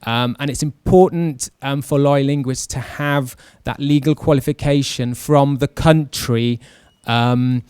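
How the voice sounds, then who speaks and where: Clear-voiced man giving a talk. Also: mid-range voice, steady tone, measured pace, a little mellow, no echo, clean studio sound. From West Wales. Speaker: panel speaker, translator. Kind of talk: informal careers talk to an audience. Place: classroom with a seated audience. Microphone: handheld microphone held close.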